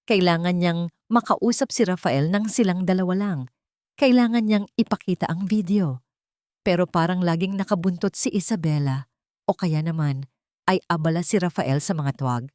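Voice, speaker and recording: A woman's voice: fairly low pitch, moderate pace, darkish tone, no echo, studio quality. A woman narrating a scripted dramatic story as its storyteller.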